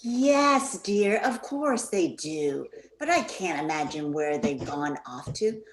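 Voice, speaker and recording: An older woman playing a practical, exasperated housewife in a comic radio play, expressive and placating. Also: deep voice, takes it slowly, reverberant, slight background noise, exaggerated voice. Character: exasperated, practical housewife soothing her fussy husband.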